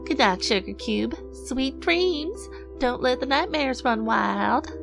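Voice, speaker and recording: A woman's voice: high-pitched, expressive, slow, gravelly, over music, exaggerated voice. A woman voicing a tender, folksy mother pony, telling bedtime stories in a motherly way. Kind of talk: acted bedtime storytelling.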